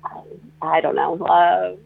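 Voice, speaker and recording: Gravelly, hesitant guest on tinny radio. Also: mid-range voice, fairly even intonation, slow pace. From northeastern Pennsylvania. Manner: rambling, hesitant, many fillers and restarts. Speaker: guest, musician and songwriter. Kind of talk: radio interview. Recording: tinny phone-like radio audio.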